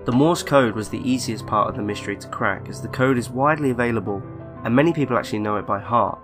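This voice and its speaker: A man reading a scripted online horror story in a measured, deliberate way, with pauses between phrases. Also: mid-range voice. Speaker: narrator of a creepypasta video. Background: background music.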